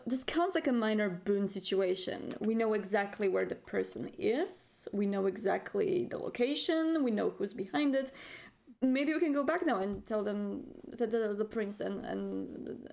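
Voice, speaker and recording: A woman voicing a cautious, practical vampire in a tabletop roleplay, speaking politely and haltingly. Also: medium pitch, gravelly, a little mellow. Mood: persuasive. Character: cautious, practical female vampire coterie member.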